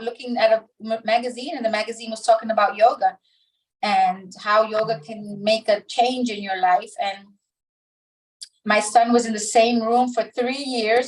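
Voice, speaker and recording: Interview guest: middle-aged woman from the Dominican Republic, higher voice, halting reflective delivery, recorded off-mic. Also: recorded from a distance, low-quality recording. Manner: halting, many restarts and pauses. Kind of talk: reflective personal storytelling.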